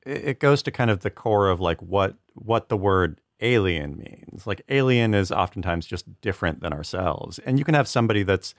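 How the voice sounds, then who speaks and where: Man guest, comedy writer type, low and lively, loose rambling delivery. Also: normal speed, hardly any pauses, dry recording, studio quality. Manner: rambling, self-deprecating, laughs often. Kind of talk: casual podcast chat.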